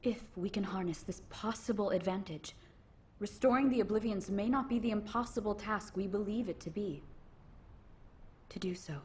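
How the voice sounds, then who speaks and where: A woman voicing a proud, solemn warrior from another era in a video game, with formal, measured phrasing. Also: mid-range voice, close to an everyday voice. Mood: formal persuasion.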